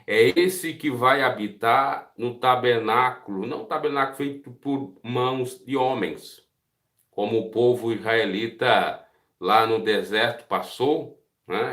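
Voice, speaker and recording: Earnest Brazilian preacher in an echoey room. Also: male voice, mid-range voice, a little slow, a bit bright. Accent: Brazilian Portuguese. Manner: earnest, asks listeners direct questions. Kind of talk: devotional Bible teaching.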